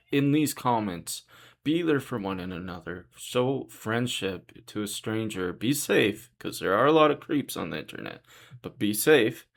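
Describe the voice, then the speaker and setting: A young man with a deep voice talking earnestly and reassuringly in a rambling vlog monologue. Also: gravelly. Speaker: vlogger. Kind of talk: casual monologue to camera.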